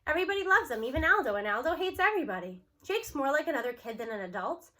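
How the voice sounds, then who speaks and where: A woman reading a children's novel aloud in an expressive first-person storytelling style. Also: high-pitched, fast talker. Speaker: audiobook reader.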